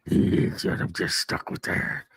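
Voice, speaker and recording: A man voicing a blunt, accusing person quoted in testimony, with a gravelly voice, in an amateur reading. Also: measured pace, fairly bright tone, dry recording, clean studio sound, close to an everyday voice.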